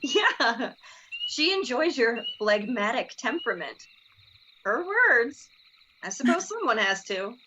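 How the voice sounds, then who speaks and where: A woman plays an encouraging young sorority sister in a dramatic reading. Her bright voice moves freely up and down, gentle but with authority, on a slightly dull recording. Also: a little high, very animated, slow, a little hiss or hum, heavily acted. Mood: warm, teasing.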